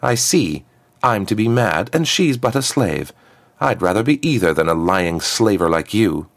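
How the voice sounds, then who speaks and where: Man acting a hot-tempered princess, lifted pitch, defiant, earnest, formal phrasing, storybook character. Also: expressive, moderate pace, vocal fry, dry recording. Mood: angry.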